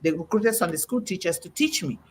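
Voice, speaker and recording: A woman's voice: lowish voice, animated, fairly quick, darkish tone, slightly rough. A middle-aged woman hosting a panel talk, delivering an emphatic, preaching-style message and quoting scripture with rising emphasis. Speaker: host.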